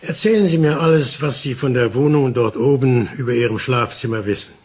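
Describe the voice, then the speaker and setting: Actor as husky, calm, probing investigator. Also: male voice, medium pitch, natural voice. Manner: measured, deliberate questioning. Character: calm, probing male investigator, reassuring but insistent. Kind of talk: German crime radio play acting.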